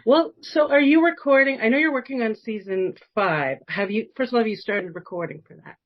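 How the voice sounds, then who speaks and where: A woman's voice: a little high, brisk, muffled recording. A woman interviewing in a friendly, casual, conversational way, with the admiring manner of a fan. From New York, United States. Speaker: podcast interviewer.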